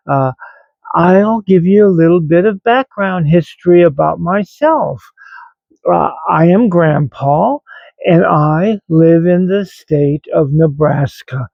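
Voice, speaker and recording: A man in his seventies with an American accent, talking reflectively and haltingly to online viewers in a rambling personal vlog. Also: on the high side, vocal fry, no room sound, narrow, tinny audio. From grew up in West Virginia, United States. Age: 70s. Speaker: vlog host.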